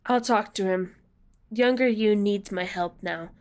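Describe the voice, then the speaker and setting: A woman's voice: mid-range voice, measured pace, darkish tone, natural voice. An actress playing a resolute, protective magic worker in an audio drama, firm and solemn in her delivery. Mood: calm, resolved. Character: resolute protective woman who works magic.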